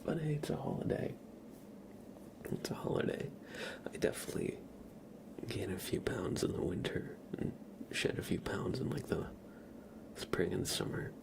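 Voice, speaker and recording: Low creaky whispering man, ASMR stream. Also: expressive, moderate pace, pauses often. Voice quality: breathy whisper with a creaky edge. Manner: soft-spoken, rambling, casual. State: slightly stuffy nose. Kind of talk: whispered ASMR livestream chat with viewers.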